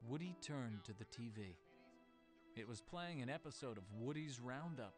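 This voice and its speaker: A man's voice: medium pitch, lively intonation, normal speed. A man reading a children's story as a dramatic narrator. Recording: music under the voice. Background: loud music.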